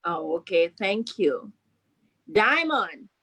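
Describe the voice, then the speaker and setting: A woman's voice: medium pitch, sing-song, slow, a bit bright, slightly rough. A woman, a school principal leading a question-and-answer chat with children, prompting them and repeating questions and answers back.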